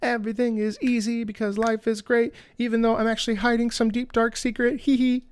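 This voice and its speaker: Man putting on a high, breezy, smug young woman. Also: little pitch movement, brisk, soft background music. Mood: breezy, giggly.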